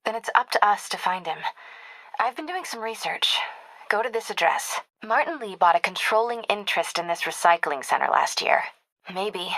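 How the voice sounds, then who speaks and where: An actress with an American accent playing a caring, determined young reporter in a video game, speaking in an earnest, conversational, confiding way. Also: female voice, medium pitch, fairly bright tone, dry recording, natural voice, poor audio. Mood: earnest, concerned. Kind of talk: naturalistic video game acting.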